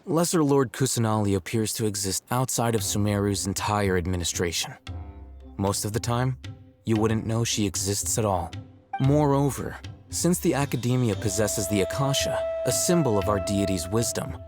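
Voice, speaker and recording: A man voicing a calm, analytical young scholar in a video game, speaking in a composed, formal, detached manner. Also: on the low side, normal speed, natural voice. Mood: detached, matter-of-fact. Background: soft game music.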